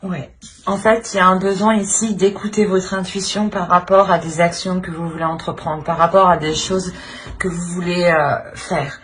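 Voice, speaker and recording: A woman's voice: fairly low pitch, steady tone, moderate pace, a little hiss or hum. A woman giving an unscripted tarot reading to viewers, hesitant and searching for words as she repeats phrases. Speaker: tarot reader and channel host.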